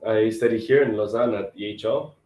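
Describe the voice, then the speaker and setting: A man in his twenties from Romania, answering interview questions in a relaxed, thoughtful way and often repeating words as he thinks. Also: on the low side, fairly quick, reverberant, low-quality recording. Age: 20s.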